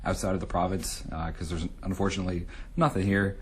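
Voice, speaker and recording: A man's voice: low-pitched, little pitch movement, fast, background noise. A young man, a radio show co-host, chatting casually in an interview in a studio, rambling with lots of fillers. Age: young adult.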